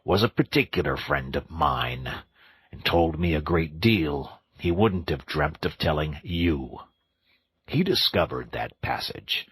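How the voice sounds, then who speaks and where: A man voicing a stern, wise old male badger in a storybook reading, slow and grave. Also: a bass voice, lively intonation, a bit bright, breathy edge, exaggerated voice.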